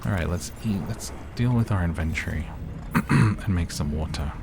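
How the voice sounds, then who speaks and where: Very deep-voiced chill gaming host. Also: male voice, moderate pace, gravelly, noisy background. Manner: rambling, chatty, self-deprecating asides. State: slightly losing his voice. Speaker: gaming let's-play host. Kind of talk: chill casual gameplay commentary. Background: background music.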